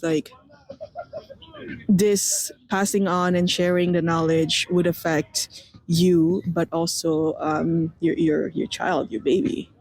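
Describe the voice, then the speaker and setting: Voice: mid-range voice, a little mellow, no room sound, rough recording. A radio interviewer of Filipino heritage asking long, rambling questions full of fillers.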